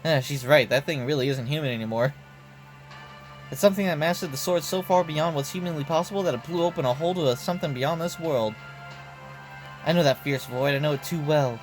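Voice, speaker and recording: A man's voice: a little high, a little fast, natural voice, low-quality recording. A man voicing a legendary female swordmaster in game dialogue, speaking in a frank, earnest, folksy way. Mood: grave. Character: legendary swordswoman, cheerful, frank, encouraging gunslinger. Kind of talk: game dialogue read aloud. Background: background music.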